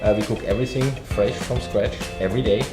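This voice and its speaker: A man, a chef, answering interview questions in a measured way with some fillers. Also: on the low side, fairly even intonation, over music. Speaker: executive chef. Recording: echoey room.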